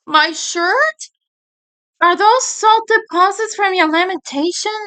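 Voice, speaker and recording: A woman's voice: very high-pitched, slow pace, pushed and bright, pitched above the performer's own voice, full character voice. A woman voicing a timid, bookish cartoon boy who is caring, wordy and fussily precise. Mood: fussy.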